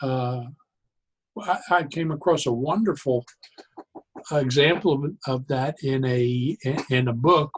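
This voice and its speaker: A man speaking as an interview guest, explaining his views conversationally in halting, deliberate phrases with pauses. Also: mid-range voice, slight background noise. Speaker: interview guest, therapeutic humor expert.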